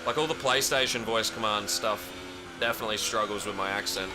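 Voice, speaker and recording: A man's voice: lowish voice, a little fast, over music. A young Australian man streaming a racing game, chatting loosely with viewers and swearing casually as he drives. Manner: rambling, chatty, swears casually, answers chat. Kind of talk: casual live-stream chat while racing. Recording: racing game audio behind voice. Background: game engine noise.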